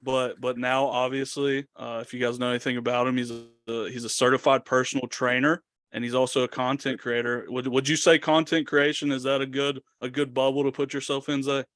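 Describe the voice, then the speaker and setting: Young dude co-hosting, friendly intro, trips on words a bit, dry mic. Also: male voice, mid-range voice, little pitch movement, fairly quick, fairly bright tone, no room sound. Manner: friendly, reads intros with small slips. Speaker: podcast co-host. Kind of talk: casual chat.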